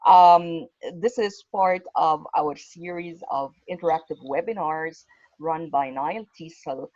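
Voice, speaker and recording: A woman's voice: low-pitched, slow, a little mellow, no echo. A woman, hosting and moderating a webinar in a formal manner. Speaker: webinar host and interviewer. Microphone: video call. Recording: dull, dry, lo-fi video-call audio.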